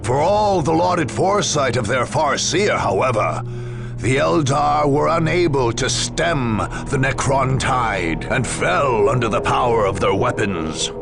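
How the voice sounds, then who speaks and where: A man voicing a grave war chronicler, telling a sci-fi saga in a measured, dramatic scripted game narration. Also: medium pitch, lively intonation, vocal fry. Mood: grave, ominous. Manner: grave, measured, storytelling delivery. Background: music.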